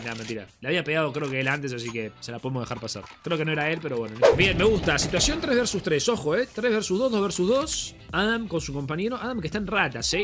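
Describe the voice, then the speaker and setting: Congested Rioplatense man with game music. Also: on the high side. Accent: Rioplatense Spanish. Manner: chatty, addresses viewers as friends. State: congested from a cold. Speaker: gaming streamer. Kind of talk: casual gameplay commentary. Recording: game music and gunfire behind.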